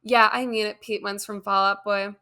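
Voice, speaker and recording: A woman's voice: medium pitch, flat delivery, normal speed, pushed and bright, clear, resonant tone, natural voice. A woman voicing a melodramatic, gushing, easily indignant emo teenage girl in a comedic story. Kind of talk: comedic first-person storytelling.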